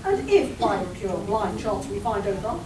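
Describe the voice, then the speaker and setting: A woman's voice: medium pitch, big pitch swings, measured pace. A woman playing a confident, exasperated young inventor and leader in a comic radio play, dry and decisive. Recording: roomy, distant, noisy live stage recording.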